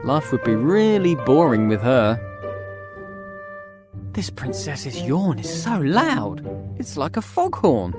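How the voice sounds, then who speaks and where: A picky young storybook prince with a lively, swinging delivery. He is fussy and complaining, and music plays clearly behind him. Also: mid-range voice, slow pace, long pauses. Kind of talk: acted children's fairy-tale dialogue.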